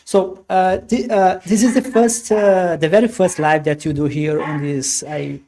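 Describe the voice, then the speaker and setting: A man whose first language is Portuguese, hosting a podcast live in a casual, halting way with many fillers and restarts. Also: high voice, mellow. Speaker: podcast host. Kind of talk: casual live-stream talk. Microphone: computer microphone.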